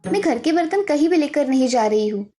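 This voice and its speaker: A woman voicing a determined, respectful young village daughter-in-law in an animated story, earnest and polite but firm. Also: high voice, brisk, soft background music. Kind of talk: Hindi animated moral story dubbing.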